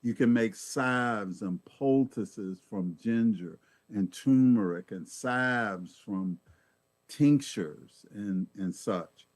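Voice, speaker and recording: A man's voice: fairly low pitch, takes it slowly, darkish tone, dry recording. A man giving an informal health talk as guest presenter, folksy and explanatory, often repeating words as he thinks.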